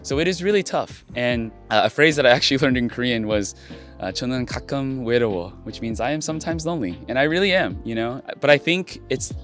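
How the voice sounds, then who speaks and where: A man's voice: medium pitch, lively intonation, fast, a bit bright, faint music behind. A young man from San Francisco, an English teacher answering a street interview outdoors in an earnest, reflective way. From San Francisco, California. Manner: earnest, reflective, drops Korean phrases. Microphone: handheld mic held by interviewer.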